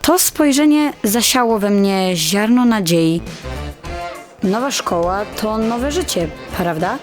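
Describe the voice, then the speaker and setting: A shy, insecure high-school girl narrating an audio drama. She speaks in a confiding, introspective way at a medium pitch. Music plays clearly under her voice. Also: lively intonation, slow. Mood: nervous, introspective. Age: teenager.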